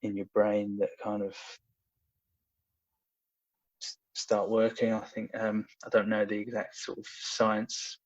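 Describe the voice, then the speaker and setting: A man's voice: lowish voice, monotone, slow pace, long pauses. A young man talking casually as a podcast guest, hesitant and full of fillers and restarts. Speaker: podcast guest, personal trainer. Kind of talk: casual conversational storytelling. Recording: dull lo-fi audio.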